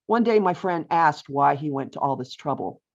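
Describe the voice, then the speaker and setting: A woman's voice: sits low, animated, moderate pace, vocal fry. Mature woman reading aloud, slightly muffled audio. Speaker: author reading from own book. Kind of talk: expressive reading aloud of a humorous essay. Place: virtual author talk over video call. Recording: dull, lo-fi online call audio.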